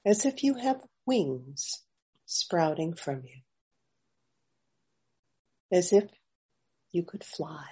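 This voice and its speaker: A woman leading a guided meditation, offering gentle invitations with long pauses between phrases, in slightly dull audio. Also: on the low side, sing-song, husky. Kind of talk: slow guided meditation narration.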